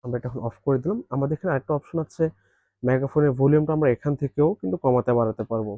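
A man's voice: medium pitch, quick pace, no room sound, narrow, tinny audio. A man giving a step-by-step product demonstration, explaining each function in a practical way.

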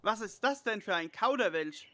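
Actor as teen boy sleuth, forceful bright tone, brainy, cheeky, excitable, parody audio drama. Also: mid-range voice, moderate pace. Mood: matter-of-fact. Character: brainy teasing teenage boy detective Karl.

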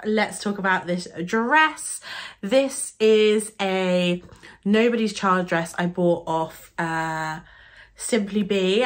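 A woman's voice: mid-range voice, fairly bright tone. Stuffy-nosed bubbly British woman. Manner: bubbly, gushing, laughs often. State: nasal, stuffy from a cold. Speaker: beauty and fashion YouTuber. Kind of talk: chatty product-review vlog. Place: bedroom, seated on a sofa, indoors.

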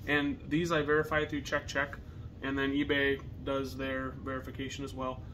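A young man with an American accent chatting casually to camera as a reseller, with halting phrasing. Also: medium pitch, completely flat delivery, a bit bright. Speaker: reseller co-hosting a video channel. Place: partially finished basement, indoors. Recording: noisy basement room recording.

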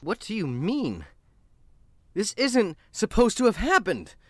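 A man's voice: high voice, wildly swooping pitch, slow, no echo, studio quality, heavily acted. An actor playing a bitter, lonely man whom everyone ignores, speaking indignantly and pleading in a radio drama. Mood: confused.

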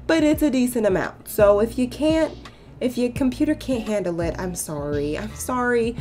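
A young woman chatting casually over her game build, her voice a bit hoarse and cracking, rambling into playful asides. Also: medium pitch. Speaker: sims builder YouTuber. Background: soft background music.

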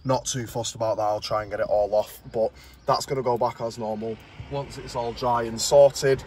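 A man's voice: mid-range voice, fairly even intonation, moderate pace, a little mellow. A man with a British accent giving casual, informal and explanatory commentary as a car restoration vlogger. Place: in a car, out on a street, and at a computer. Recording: noisy handheld recording, vehicle ambience.

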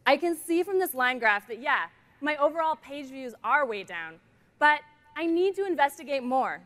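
A middle-aged woman presents a product demo on a big conference stage, talking through a headset mic. Her voice sits high, and she keeps the delivery bright and playful, slipping jokes between features. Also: sing-song, normal speed.

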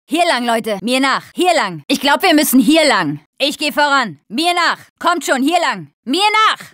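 A high, dry-recorded young female voice for a zombie video game. She is a tough survivor with a blunt, sarcastic edge. Her delivery is urgent, made for short combat barks and quips. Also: pushed and bright, no echo, heavily acted. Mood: encouraging. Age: young adult. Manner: blunt, foul-mouthed, urgent.

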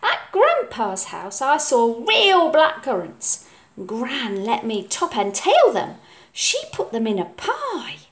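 A woman's voice: fairly high pitch, huge pitch swings, takes it slowly, heavily acted. A woman voicing a stubborn, sulky young boy in a storybook, drawing out words emphatically. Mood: wistful, proud. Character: stubborn young boy proud of his grandpa.

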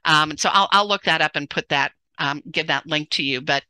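A middle-aged woman speaking as a parenting coach in a relaxed interview, with a loud, forceful and very bright voice. She gestures animatedly and often restarts her sentences. She is on a headset from home, and the sound is close and dry. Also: low-pitched, a little fast, no room sound. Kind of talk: casual interview conversation. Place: home room, indoors. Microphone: headset on a video call.

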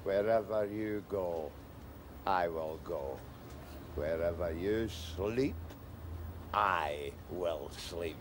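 An actor playing a despairing man who was pulled from drowning, speaking in a low, flat, solemn way. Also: slow pace, vocal fry, slight background noise.

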